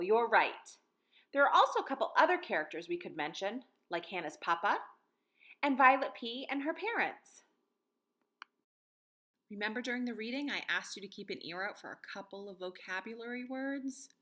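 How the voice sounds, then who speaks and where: A woman's voice: mid-range voice, lively intonation, moderate pace, a bit bright. An American woman in her thirties, a teacher reading and talking to young children in simple, guiding phrases. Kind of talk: picture-book read-aloud and lesson for children.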